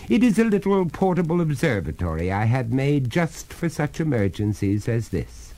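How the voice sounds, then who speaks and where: A man voicing a courteous, coolly resolute young gentleman in a storybook, speaking formally and firmly. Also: medium pitch, sing-song, normal speed, voice pushed up.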